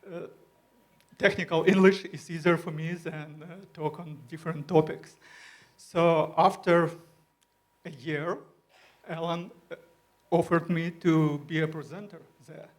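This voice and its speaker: A man with a Ukrainian accent speaking as a panelist on a conference stage, in careful, halting English with many pauses and fillers. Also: a little high. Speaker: panelist, user group organizer. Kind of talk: live panel discussion. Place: conference stage before an audience.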